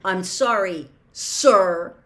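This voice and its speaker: A woman's voice: mid-range voice, lively intonation, slow pace, a bit bright. A woman voicing a determined, caring and cheeky teenage boy with a firm, direct manner in a storybook reading. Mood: pointed, cheeky.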